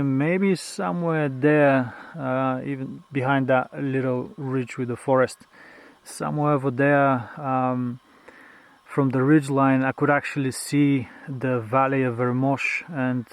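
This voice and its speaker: Young male hiker vlogger, native Bulgarian speaker; unhurried, filler-heavy talk outdoors on a mountain trail. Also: medium pitch, clear, resonant tone. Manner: slow, hesitant, many fillers. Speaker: hiking vlogger. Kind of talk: casual trail vlog.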